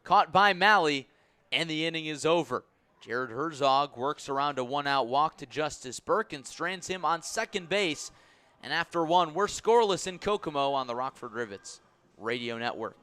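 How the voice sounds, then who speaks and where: A man's voice: on the high side, animated, normal speed, pushed and bright, smooth. A man doing live baseball play-by-play commentary for a radio broadcast.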